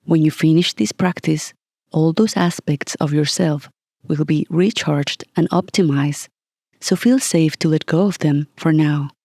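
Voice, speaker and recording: A meditation guide reading a scripted session in a calm, gentle, soothing manner with long pauses. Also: medium pitch, no echo, clean studio sound.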